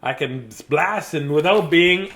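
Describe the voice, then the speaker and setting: A man's voice: on the high side, fairly bright tone. A man voicing a grumpy young male penguin who wants solitude, huffy and emphatic in a storybook reading. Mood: relieved, gleeful. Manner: huffy, emphatic, drawn-out refusals.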